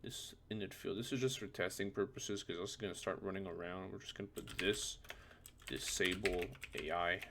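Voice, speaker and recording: A man with a very low, gravelly voice walks through a software tutorial in a casual, halting way. He thinks aloud as he demonstrates. There is some noise in the home recording, with keyboard clicks. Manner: informal, hesitant, thinking aloud while demonstrating. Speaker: tutorial presenter. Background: background noise, computer keyboard and typing.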